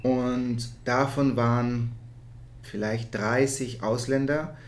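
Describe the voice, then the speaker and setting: A man's voice: medium pitch, steady tone, takes it slowly, a little hiss or hum. Dutch-accented man, searching for words. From Netherlands. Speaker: interview guest, musical theatre performer. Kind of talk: reflective conversational interview.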